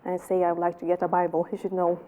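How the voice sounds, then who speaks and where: Lithuanian-accented woman, soft and mellow. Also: fairly low pitch, monotone, rough recording. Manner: halting, with many restarts and fillers. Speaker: interview guest. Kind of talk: personal testimony in an interview.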